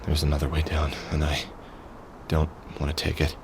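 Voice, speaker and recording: Deep, weary, brooding game hero. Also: male voice, monotone, normal speed, background noise. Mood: tense, weary. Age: middle-aged. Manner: brooding, weary, muttering to himself. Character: weary middle-aged man with a cybernetic arm, grieving and sardonic. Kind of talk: video game voice acting, brooding inner monologue and dialogue.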